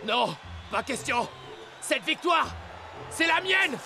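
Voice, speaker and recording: An actor voicing a stubborn, defensive star football striker in a cartoon. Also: male voice, extremely high pitch, big pitch swings, slow, gravelly, heavily acted. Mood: defiant. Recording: loud music behind the voice.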